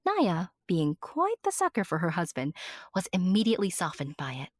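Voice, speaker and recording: A woman's voice: on the low side, huge pitch swings, moderate pace, no room sound, studio quality. A woman narrating a fantasy audio drama as a small, fond and earnest companion telling the tale first-hand. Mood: fond, wry.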